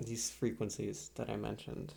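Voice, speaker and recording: A man playing a soft-spoken, quietly sinister psychiatrist in an ASMR roleplay, with measured, hesitant clinical speech and a husky, gravelly voice. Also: fairly low pitch, completely flat delivery, a little hiss or hum. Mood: clinical, persuasive.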